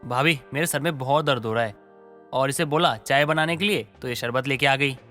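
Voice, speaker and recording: A man's voice: mid-range voice, fairly quick, sharp tone, soft background music, close to an everyday voice. A man playing an irritable, demanding young husband, scolding and impatient, in a dramatised family story. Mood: annoyed, scolding. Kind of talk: dramatised Hindi moral story acting.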